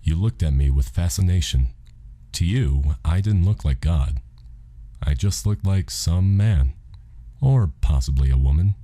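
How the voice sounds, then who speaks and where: A man's voice: sits low, warm tone. A man reading scripted audiobook narration as the storyteller.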